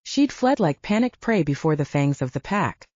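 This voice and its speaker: A woman's voice: sits low, sing-song, normal speed, a little mellow, no echo, muffled recording. A woman reading a scripted audiobook story as its narrator.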